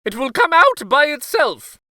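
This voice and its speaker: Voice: high voice, very animated, measured pace, sharp tone, dry recording, clean studio sound, voice pushed up, exaggerated voice. A man voicing a fussy, reluctant storybook king with whiny, exclamatory protests. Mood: stubborn refusal. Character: fussy, reluctant king afraid of splinter removal.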